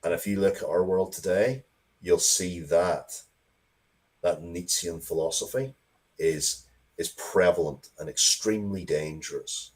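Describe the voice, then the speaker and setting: Male interview guest, very deep voice, informal, opinionated, emphatic delivery with repeated words. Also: unhurried, a little mellow. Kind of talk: casual, opinionated interview talk.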